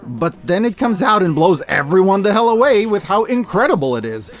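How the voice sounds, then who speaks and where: Male host, 30s, slightly high voice, scripted but informal and emphatic commentary, faint music underneath. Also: big pitch swings, a little fast. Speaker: host of a year-in-review media video. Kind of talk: scripted enthusiastic video-essay commentary.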